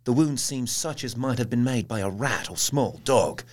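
An actor playing a sober Victorian newspaper reporter, reading in a measured, formal dramatic style. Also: male voice, medium pitch, darkish tone, lightly acted. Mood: sober reporting.

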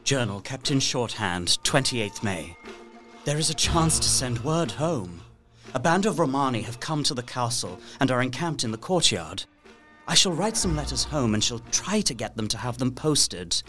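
An actor playing an anxious, resourceful young captive gentleman, narrating his journal carefully and earnestly in an audio drama. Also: medium pitch, sing-song, moderate pace, natural voice. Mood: guarded, determined. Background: music.

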